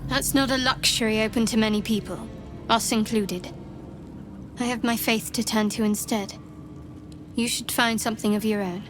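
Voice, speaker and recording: A woman's voice: on the high side, steady tone, moderate pace, long pauses, close to an everyday voice. A woman playing a guarded, devout young cleric in a video game, solemn and clipped in manner. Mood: stern, devout. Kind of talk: video game character acting. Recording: loud background music.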